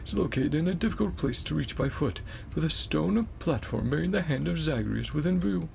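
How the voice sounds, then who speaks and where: A man's voice: on the high side, lively intonation, a little fast, gravelly, darkish tone, slight background noise, pitched above the performer's own voice. A man voicing a prim, earnest nymph scholar from a video game, talking in a stilted, formal and pedantic way. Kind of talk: reading game dialogue in a put-on voice.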